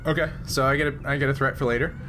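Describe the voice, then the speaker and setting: A man with a medium-pitched voice acts as game master for a tabletop roleplaying game. He explains rules and tallies in a halting, think-aloud way. There is faint noise behind him. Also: fairly even intonation. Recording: noisy background.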